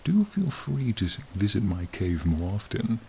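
A man voicing a charming, friendly ancient dragon in a soothing, formal, gently teasing ASMR roleplay. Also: lowish voice, sing-song, moderate pace, vocal fry, a little hiss or hum. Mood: calm, welcoming. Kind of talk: ASMR roleplay, slow intimate monologue. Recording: rain and cave ambience behind.

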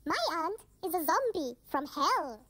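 A performer playing a small, polite but blunt little girl in an animated film. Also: measured pace, no room sound, full character voice. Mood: matter-of-fact. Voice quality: very high childlike voice. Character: small, plucky, matter-of-fact little girl. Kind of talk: animated film character acting.

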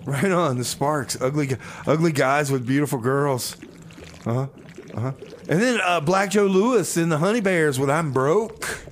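A man's voice: fairly high pitch, moderate pace, slight background noise. A man hosting a community radio music show with casual, comedic banter.

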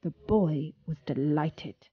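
A woman's voice: deep voice, slow, slightly rough, no echo, narrow, tinny audio. A woman narrating a scripted story as a storyteller.

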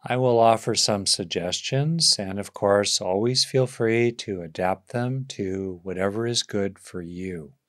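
A man leading a guided meditation as a teacher, giving gentle instructions with long pauses between phrases. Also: on the low side.

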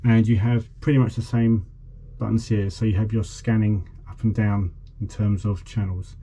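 A man's voice: fairly low pitch, level tone, normal speed, mellow, a little hiss or hum. A man chatting casually as a vlogger, showing and explaining things informally. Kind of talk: casual vlog chat and informal demonstration. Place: outdoors at a marina, partly aboard a boat.

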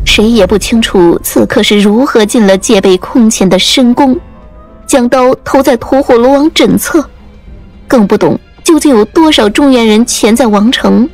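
A woman's voice: fairly high pitch, normal speed, darkish tone. A woman reading scripted audiobook narration as the storyteller. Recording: music under the voice.

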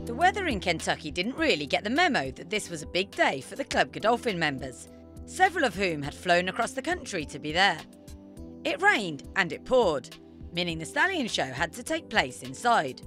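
Lively woman presenting over background music. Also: lowish voice, normal speed. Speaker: TV reporter and presenter. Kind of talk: scripted feature narration and presenting.

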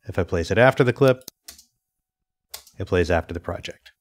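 A man presenting an instructional software screencast in a methodical, step-by-step way. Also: sits low, expressive, moderate pace. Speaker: tutorial presenter.

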